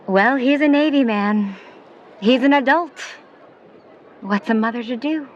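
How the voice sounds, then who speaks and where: A woman playing a skeptical, worried middle-aged mother in a 1950s period audio drama, speaking in a proper, measured way. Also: a little high. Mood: resigned, softening. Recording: dull, noisy recording with ambience.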